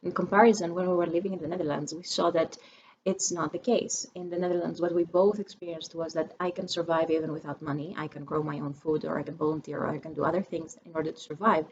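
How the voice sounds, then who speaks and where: A woman's voice: sits low, little pitch movement, fast talker, a little mellow, highs cut off, a little hiss or hum. Musing young woman from Greece. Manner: musing, searching for words, many restarts and fillers. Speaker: vlogger. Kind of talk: reflective personal monologue.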